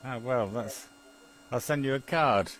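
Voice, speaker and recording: A man's voice: medium pitch, measured pace, fairly bright tone. A British man in a rambling, chuckly casual chat, often muttering to himself as he operates an amateur television link.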